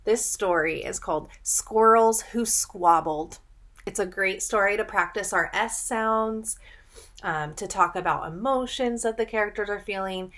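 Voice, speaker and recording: A young adult woman reading a children's picture book aloud in an expressive, teacherly way, pausing to ask simple questions. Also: medium pitch. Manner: animated storytelling, deliberate enunciation, audible gasps. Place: small furnished room at home, indoors.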